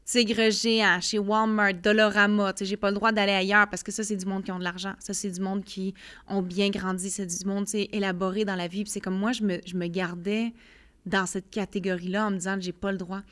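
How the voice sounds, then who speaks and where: A woman's voice: mid-range voice, fairly quick, clean tone. Earnest Quebec French woman telling her story. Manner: earnest, many fillers, lively storytelling with quoted inner voice. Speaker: podcast guest. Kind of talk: candid personal testimony.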